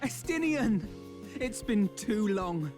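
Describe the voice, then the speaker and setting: A man's voice: high-pitched, sing-song, slow, music in the background, heavily acted. A man playing an earnest, formal and eloquent young nobleman in a fantasy game. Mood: courteous. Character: earnest, courteous, well-spoken young nobleman.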